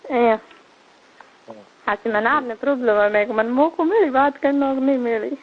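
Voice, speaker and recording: Female caller, Marwari accent, high voice, informal private conversation over a narrow phone line. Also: moderate pace. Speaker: caller on a personal phone call. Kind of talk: casual intimate phone chat. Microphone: telephone line. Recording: thin, band-limited phone call recording.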